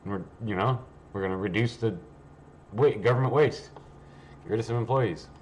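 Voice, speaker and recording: A man with a lowish voice hosts a casual livestream. He rambles and reads aloud in a blunt, offhand, sweary manner. Faint noise sits behind him. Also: moderate pace, darkish tone. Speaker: livestream host.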